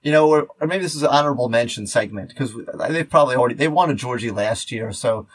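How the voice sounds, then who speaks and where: A man's voice: medium pitch, quick pace, dry recording. A man hosting a podcast in casual chat, rambling and often interrupting himself mid-sentence. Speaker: podcast host.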